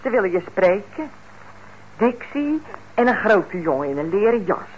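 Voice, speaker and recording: A woman's voice: medium pitch, big pitch swings, normal speed, natural voice. An actress playing a homely, coaxing middle-aged mother in a radio drama, casual and a little evasive. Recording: muffled old radio recording, faint noise.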